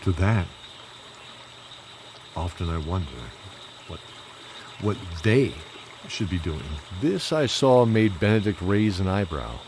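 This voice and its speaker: A man's voice: deep voice, animated, takes it slowly, long pauses, noisy background, full character voice. A man playing a solemn old holy knight who narrates his own tale in an audio drama. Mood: solemn, reflective. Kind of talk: first-person dramatic storytelling. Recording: background music throughout.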